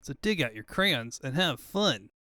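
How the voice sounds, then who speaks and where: A man's voice: medium pitch, very animated, unhurried, sharp tone, dry recording, studio quality. A man reading scripted narration for a comic book fandub.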